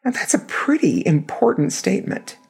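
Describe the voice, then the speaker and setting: A hoarse woman giving an earnest, emphatic scripture lesson. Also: mid-range voice, very animated, normal speed, a little mellow. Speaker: scripture teacher.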